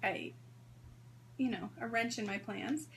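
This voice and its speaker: A hoarse woman in her thirties chats through a sewing livestream, rambling and greeting viewers as they arrive. Her voice sits a little high, with a faint hum in the background. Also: unhurried, a bit bright, poor audio. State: hoarse from strep throat. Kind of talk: casual livestream chat.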